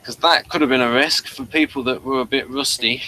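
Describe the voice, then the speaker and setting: A man hosting a review show, chatting casually in a hesitant, halting way and often repeating words. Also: mid-range voice, steady tone, sharp tone, low-quality recording. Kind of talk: casual audio drama review chat.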